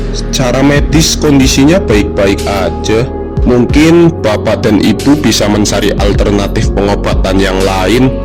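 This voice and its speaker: A man's voice: medium pitch, natural voice. A man voicing a polite, puzzled doctor. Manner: polite, explanatory. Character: puzzled male hospital doctor. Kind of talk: cartoon voice acting. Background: background music.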